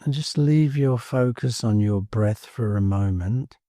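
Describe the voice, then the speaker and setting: A man leading a guided meditation in a calm, soothing manner, leaving space between short phrases. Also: mid-range voice, a little slow, dry recording, clean studio sound. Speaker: meditation teacher. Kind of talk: guided body scan meditation.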